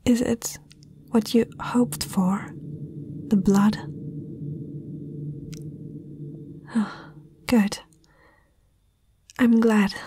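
A woman playing a young princess, haughty yet spellbound, in a breathy, hesitant whisper for an ASMR fantasy roleplay. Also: medium pitch, background noise, lightly acted. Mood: entranced, compliant. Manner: slow, hesitant, dreamy delivery.

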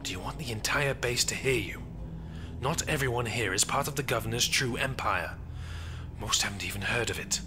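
An actor playing a haughty, stern military commander in an audio drama, with a commanding, clipped, disdainful manner. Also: male voice, mid-range voice, moderate pace, background noise, natural voice. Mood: stern, guarded. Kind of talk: fan audio drama acting. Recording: loud film-style music behind.

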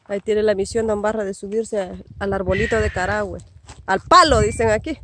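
A woman's voice: mid-range voice, a little fast, noisy background. A woman behind the camera, chatting casually and narrating for viewers outdoors in dry countryside, laughing often. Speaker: vlogger and camera operator. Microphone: camera she holds.

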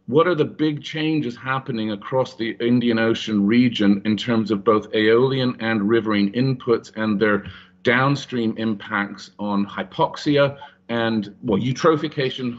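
A man speaking on a panel over a video call, halting with many restarts and fillers. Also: fairly low pitch. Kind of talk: panel discussion. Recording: muffled video call audio.

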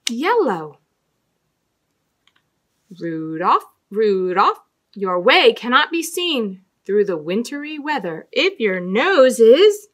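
A middle-aged woman telling stories and talking to young children as a storytime host, with an expressive, deliberate sing-song delivery and dramatic emphasis. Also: on the high side. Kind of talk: read-aloud storytelling and talk to young children. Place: small indoor room with fabric backdrop.

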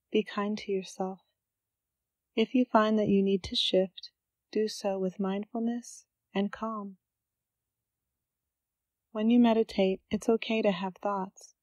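A woman leading a scripted guided meditation, giving gentle, calm instructions with unhurried pauses. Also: medium pitch, dry recording.

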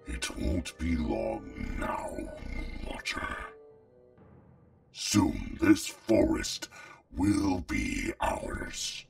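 Very slow, gravelly, menacing dragon father. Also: rumbling low, big pitch swings, far from the mic, soft background music, exaggerated voice. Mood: grim, stern. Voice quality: gravelly, growling. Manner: gratingly slow, cold, contemptuous. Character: stern, menacing adult male dragon father. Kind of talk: audio drama acting.

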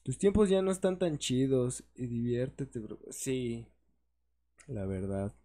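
A man's voice: medium pitch, slow pace. Slangy young Mexican man chatting. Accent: Mexican Spanish. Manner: slangy, joking, animated. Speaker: podcast co-host. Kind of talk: casual chat.

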